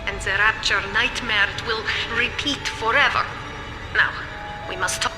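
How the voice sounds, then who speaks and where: An actress playing a grave, remorseful older woman scientist with a German accent, speaking solemnly and deliberately in a video game. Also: on the high side, steady tone, lightly acted. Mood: grave, urgent. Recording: echoey hall reverb, music behind.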